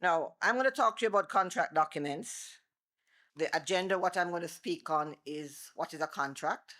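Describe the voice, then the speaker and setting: Middle-aged Jamaican woman, lower-pitched, attorney-lecturer type; loud, animated, talks through examples. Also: normal speed. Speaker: attorney and former bar president giving a seminar. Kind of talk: seminar presentation. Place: seminar room before an audience. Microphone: microphone she moves away from.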